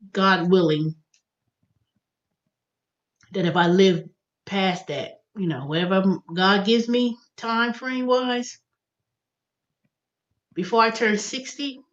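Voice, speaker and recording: A woman in her early fifties with an American accent talks at a medium pitch in a casual, rambling livestream style. She thinks out loud, often repeating and correcting herself. The recording is a little dull and lo-fi. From United States. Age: early 50s. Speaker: livestream host.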